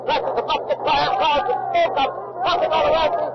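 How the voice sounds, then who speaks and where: An American man playing a grave radio news correspondent giving a deliberate eyewitness report from a battlefield in a 1940s radio drama. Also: very high-pitched, level tone. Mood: urgent, alarmed. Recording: muffled, noisy 1940s radio recording.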